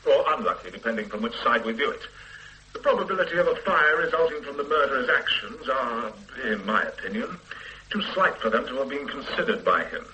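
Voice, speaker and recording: A pompous forensic scientist. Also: male voice, mid-range voice, animated, brisk, sharp tone, slight background noise, low-quality recording. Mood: lecturing. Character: pompous middle-aged male forensic scientist. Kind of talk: 1970s radio drama acting.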